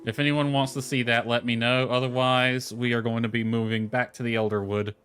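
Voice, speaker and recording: A man's voice: mid-range voice, little pitch movement, fairly quick, fairly bright tone, clean tone. A man giving casual, rambling livestream commentary as he plays a game, thinking aloud as he goes. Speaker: game streamer. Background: background music.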